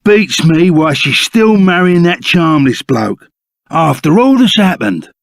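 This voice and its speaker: Rough Cockney henchman, high-pitched for a man, swinging intonation, blunt, loyal, excitable, video game character. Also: a little slow, no room sound, studio quality, full character voice. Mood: chummy, casual. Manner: blunt, slangy, chummy, exclamatory.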